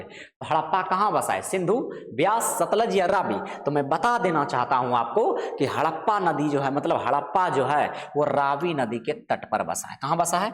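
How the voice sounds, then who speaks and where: A man with a Bihari accent teaching an exam-prep lesson to students, repeating himself and often adding check phrases. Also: mid-range voice. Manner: repetitive, with frequent check phrases. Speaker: history teacher. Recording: echoey hall-like reverb.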